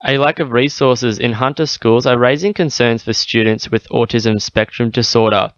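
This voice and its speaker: A man's voice: medium pitch, normal speed, no echo, muffled recording. An Australian man reading a scripted radio news bulletin.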